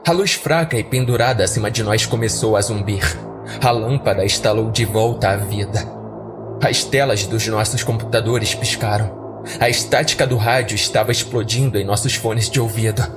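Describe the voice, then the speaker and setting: A man's voice: fairly low pitch, moderate pace. A Brazilian man giving dramatic first-person narration of a horror story as a podcast narrator. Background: music.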